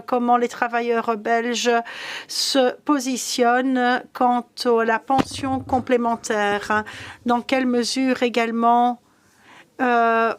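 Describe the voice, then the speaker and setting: Slow, even-toned woman moderator. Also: on the high side, clean tone. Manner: slow, measured, with some fillers. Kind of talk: introducing a conference session. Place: conference room.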